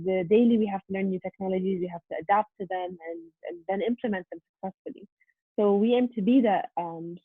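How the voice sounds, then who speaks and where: A woman giving a prepared, earnest presentation over a video call, steady with frequent um fillers. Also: medium pitch, breathy edge, no echo. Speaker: conference presenter. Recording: tinny phone-like line.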